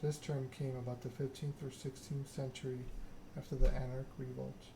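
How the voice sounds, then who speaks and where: Male ASMR streamer, mid-pitched and flat in intonation, measured halting delivery, noisy ambient recording. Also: gravelly. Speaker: game streamer, host. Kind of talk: soft-spoken ASMR reading and stream chat.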